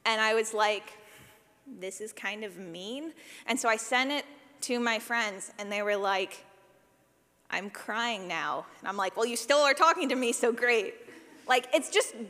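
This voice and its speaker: A woman giving an informal talk as a sound designer, animated and self-deprecating, with a jokey manner and frequent use of "like". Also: fairly high pitch. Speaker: speaker, sound designer for audio fiction. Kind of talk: informal conference talk with audience questions. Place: large reverberant room, live talk. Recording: reverberant hall sound.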